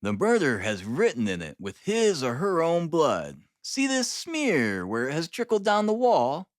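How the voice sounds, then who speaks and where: A man's voice: a little high, wildly swooping pitch, unhurried, no room sound, clean studio sound, pitched above the performer's own voice. A man voicing a touchy, self-important little police detective in an audiobook of a classic mystery novel. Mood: triumphant.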